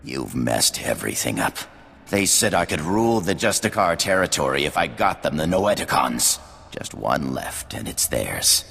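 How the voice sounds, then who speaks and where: A man's voice: extremely low pitch, very animated, normal speed, creaky, fairly bright tone, slightly rough, in a large hall, heavily acted. An actor playing a desperate, bitter male gang boss who feels defeated, in a video game. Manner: bitter, resigned.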